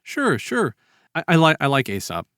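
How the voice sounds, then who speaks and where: A man in a casual, reflective podcast discussion, speaking haltingly and repeating words as he builds a point. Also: fairly high pitch, huge pitch swings, a bit bright, dry recording, clean studio sound.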